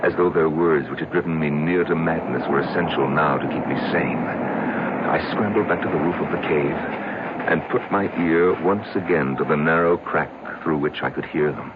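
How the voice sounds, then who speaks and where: An actor playing a brooding, obsessed man in his fifties who confesses in grave, deliberate radio drama narration. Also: a bass voice. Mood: brooding, intense. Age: 50s. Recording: noisy, muffled vintage radio recording.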